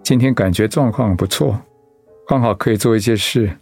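A gentle, low-voiced idealist pastor. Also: male voice, moderate pace, vocal fry, soft background music. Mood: calm, earnest. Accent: Taiwanese. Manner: earnest, reflective. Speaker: voice actor in a radio drama. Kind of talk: Mandarin radio drama acting.

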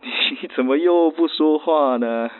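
An actor playing an awkward, eager suitor in a radio drama, fumbling and stammering through a telephone filter. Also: male voice, a little high, a little slow. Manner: fumbling, stammering, bashful chuckles. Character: awkward, eager, clumsy practical male suitor. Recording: thin, phone-like band-limited sound.

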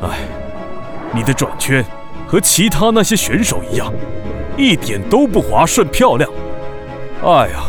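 A man's voice: on the high side, huge pitch swings, a little slow, long pauses, music in the background. A man voicing a stern, critical older sea snail uncle in a children's audio drama, blunt and fault-finding. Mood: critical, scornful.

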